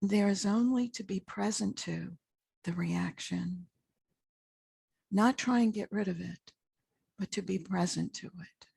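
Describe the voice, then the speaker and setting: Slow, gravelly woman guiding meditation. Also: a little mellow, dry recording. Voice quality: husky, gravelly low voice. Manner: slow, measured, with long pauses between short phrases. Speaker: guided meditation teacher. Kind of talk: guided meditation, unscripted spiritual talk.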